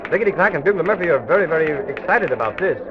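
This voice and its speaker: An actor playing a gentle, agreeable storyteller companion in an old children's radio serial, speaking in a polite, wholesome way. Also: male voice, fairly high pitch, expressive, very fast, background noise, close to an everyday voice. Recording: tinny, narrow vintage radio with music.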